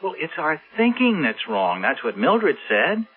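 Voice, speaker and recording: An actor playing a hesitant, bewildered bereaved husband in a radio drama. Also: male voice, a little high, wildly swooping pitch, measured pace. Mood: reflective. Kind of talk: 1970s radio drama acting. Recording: tinny old radio, faint music behind.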